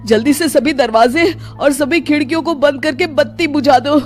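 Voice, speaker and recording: A cowardly male villager in a cartoon, played by a man. The voice is high and panicky, always pleading. Soft music sits behind it. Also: normal speed. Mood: panicked.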